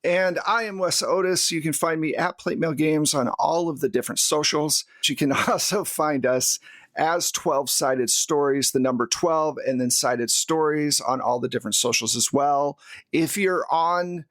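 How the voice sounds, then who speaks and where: A man's voice: fairly high pitch, a little slow, a bit bright, no room sound. A man hosting a tabletop game podcast, chatting casually and jokingly as he signs off. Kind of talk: casual chat, podcast sign-off.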